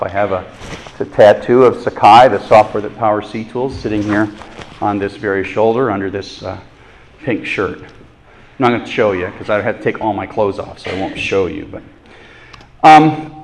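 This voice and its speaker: A man lecturing a university class in a relaxed, conversational way, with self-deprecating jokes. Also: fairly low pitch. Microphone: microphone adjusted during talk.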